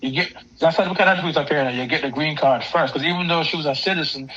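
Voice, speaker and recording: A man's voice: a little high, quick pace, pushed and bright. A man calling in to a live show, talking in rambling, casual speech full of restarts. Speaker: caller. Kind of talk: casual call-in chat. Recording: dull lo-fi call audio.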